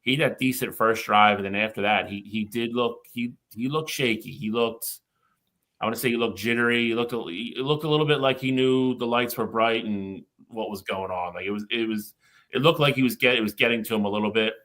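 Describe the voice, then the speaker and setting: A man co-hosting a sports podcast, measured and hesitant, often restarting his sentences. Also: mid-range voice, a bit bright. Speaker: podcast co-host. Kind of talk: casual sports discussion.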